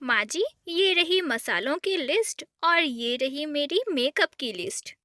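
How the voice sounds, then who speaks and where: A woman's voice: high-pitched, lively intonation, unhurried, pushed and bright, dry recording, studio quality, full character voice. A woman voicing a cunning, bossy young female bird, a new bride in a cartoon. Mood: demanding. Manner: sweetly demanding, bossy.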